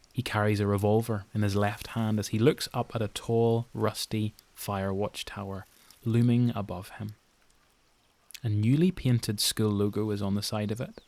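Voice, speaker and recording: A man with a Northern Irish accent reading scripted, suspenseful dramatic story narration in deliberate phrases. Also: lowish voice, no echo. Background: music.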